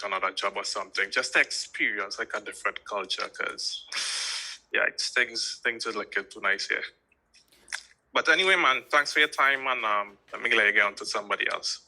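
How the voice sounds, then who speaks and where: A man calls in to a livestream show. His voice sits a little low and sounds very bright and sharp. He talks casually and hesitantly, with plenty of fillers. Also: rough recording. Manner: relaxed, hesitant, lots of fillers. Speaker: caller. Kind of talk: casual phone-in chat.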